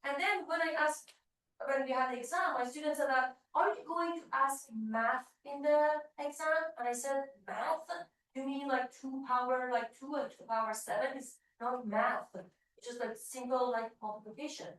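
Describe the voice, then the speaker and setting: A woman's voice: high voice, steady tone, moderate pace, a bit bright. A woman from Iran with an Iranian accent, a programming professor on a panel, answering with many hesitant fillers. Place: large event hall. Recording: echoey hall, voice from far off.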